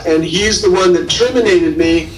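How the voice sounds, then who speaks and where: A man's voice: high-pitched, normal speed. A male scientist recounting his own experience in an interview. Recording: echoey room, distant microphone.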